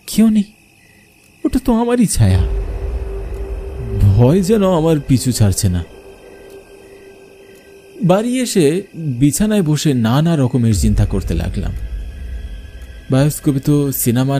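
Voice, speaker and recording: Cartoon horror narrator: male actor as anxious village showman, mellow mid-range voice, dramatic delivery, faint music underneath. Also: medium pitch. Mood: worried, fearful. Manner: dramatic storytelling. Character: worried, frightened village bioscope showman telling his story. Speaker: narrator and main character. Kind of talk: scripted first-person horror cartoon narration.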